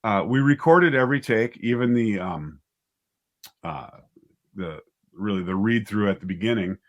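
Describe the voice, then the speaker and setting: A man's voice: on the low side, expressive, normal speed. A man speaking casually as an interview guest, a writer and director thinking aloud in a hesitant, conversational way.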